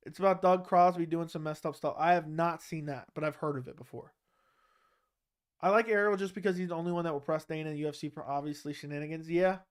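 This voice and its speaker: A man's voice: high-pitched, clear, resonant tone. A man hosting a casual livestream, reading questions from chat and answering them bluntly while his throat is sore.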